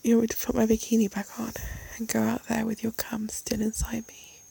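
A woman performing an intimate audio roleplay in a breathy, pleading, teasing voice. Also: fairly high pitch, completely flat delivery, a little fast, dark tone, dry recording.

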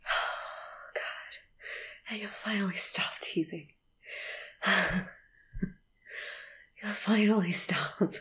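A woman speaking a slow, intimate audio roleplay monologue, often in a breathy whisper with sighs and soft laughs between phrases. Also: mid-range voice, fairly even intonation.

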